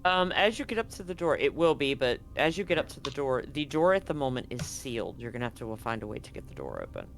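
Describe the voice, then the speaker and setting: A man's voice: mid-range voice, huge pitch swings, fast, vocal fry, a bit bright. A man running a tabletop roleplaying game as game master, describing scenes in a rambling, self-correcting way.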